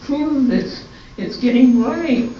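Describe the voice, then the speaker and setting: A deadpan diner wisecracker, acted by a woman in an amateur radio comedy. The delivery is slow and dark-toned, heard from far off through heavy echo and a slightly dull recording. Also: sits high. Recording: heavy hall echo, distant mic, dull, faint noise.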